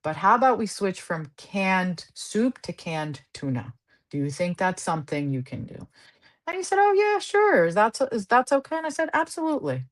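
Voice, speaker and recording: A woman's voice: medium pitch, very animated, normal speed. A woman clinician in a casual interview, earnestly explaining how she counsels patients and acting out their conversations. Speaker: guest clinician. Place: Southern California.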